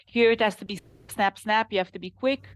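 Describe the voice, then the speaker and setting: Israeli woman, business founder guest, animated storyteller, mid pitch. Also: brisk. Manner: animated storytelling, laughs while talking. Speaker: translation company founder, interview guest. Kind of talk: casual interview conversation.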